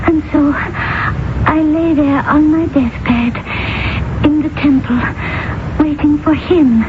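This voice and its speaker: Dying young priestess, high voice, faltering and solemn, radio drama, tinny noisy old recording. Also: female voice. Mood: weak, reverent. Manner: slow, halting, reverent. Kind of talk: 1980 radio drama acting.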